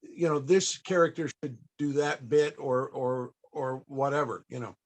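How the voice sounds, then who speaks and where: A man hosting a panel, telling stories conversationally to an audience, with halting, searching pauses. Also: medium pitch, fairly even intonation. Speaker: panel host and interviewer.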